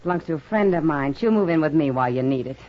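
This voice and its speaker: A woman's voice: low voice, lively intonation, fast. An actress playing a kindly, no-nonsense frontier town woman in a 1950s radio western. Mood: friendly, practical. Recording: dull vintage radio recording, faint hiss.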